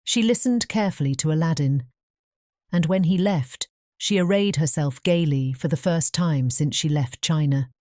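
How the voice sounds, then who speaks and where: A woman reads storybook narration in a notably low register. Her tone is mellow and rounded, suited to a scripted fairy-tale audiobook. The recording is close, with no audible room. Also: moderate pace, no echo.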